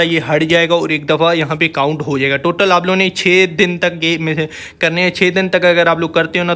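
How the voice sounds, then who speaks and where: A man with a slightly high voice and a Pakistani accent hosts a gaming channel. He explains things in a casual, informal walkthrough style, addressing his viewers like brothers. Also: fairly bright tone. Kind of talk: casual game event walkthrough voice-over.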